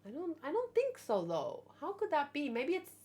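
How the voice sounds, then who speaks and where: Female streamer, high-pitched, animated, rambling, informal live chat. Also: moderate pace. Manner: animated, exclamatory, rambling asides. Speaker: streamer hosting a manga read-along. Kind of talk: casual live chat, thinking aloud.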